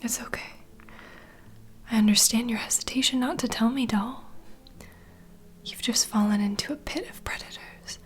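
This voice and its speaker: A woman playing a nurturing, gossipy vampire bartender in an ASMR fantasy roleplay, speaking in a soft, breathy, confiding whisper. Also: a little high, moderate pace, pauses often, lightly acted. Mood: confiding, protective. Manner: intimate, confiding, gentle.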